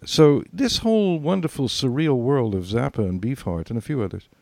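A man's voice: mid-range voice, animated, moderate pace, vocal fry, darkish tone, no echo. An older man co-hosting a podcast in casual chat. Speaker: podcast co-host.

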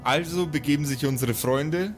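A man's voice: fairly high pitch, normal speed. A man improvising narration as game master of a tabletop game, with mock-formal storytelling phrasing. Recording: music bed behind the voice.

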